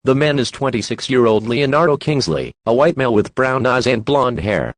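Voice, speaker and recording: A man's voice: mid-range voice, lively intonation, moderate pace, no room sound. A man voicing an impersonal emergency alert announcer, reading scripted civil warnings in a formal, instructive manner. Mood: impersonal, official.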